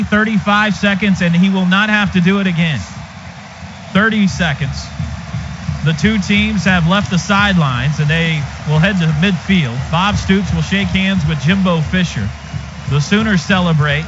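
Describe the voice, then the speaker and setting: An American man doing live football play-by-play for radio, in an excitable, clipped running call of each play. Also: high voice, normal speed. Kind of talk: live sports commentary. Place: stadium radio booth. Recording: noisy, dull stadium radio broadcast.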